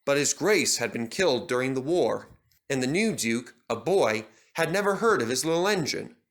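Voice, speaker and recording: A man reading a children's story as narrator, in an expressive storytelling manner. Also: medium pitch, moderate pace.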